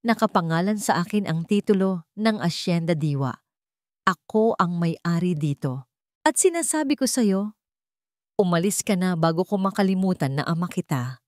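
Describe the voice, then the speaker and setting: A woman's voice: fairly low pitch, lively intonation, normal speed, a little mellow, no room sound, close to an everyday voice. A woman plays a wronged heir in an audio drama, wounded and bitter yet resolute. The lines are defiant and sound dry and close. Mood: firm defiance. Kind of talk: dramatic Tagalog audio story.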